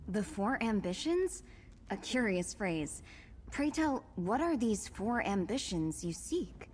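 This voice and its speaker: An actress voicing a composed, artistic young sister in a fantasy video game, with formal, measured phrasing. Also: female voice, mid-range voice, very animated, a little mellow, long reverb tail, slight background noise. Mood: curious, formal.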